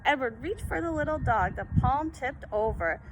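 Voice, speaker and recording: A woman reading a children's picture book aloud with an expressive, dramatic storytelling delivery. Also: normal speed. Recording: very noisy, rumbling background.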